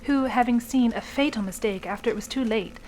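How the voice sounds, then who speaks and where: A woman's voice: on the high side, a little fast, a little mellow, low-quality recording. A woman podcast host who narrates a short story.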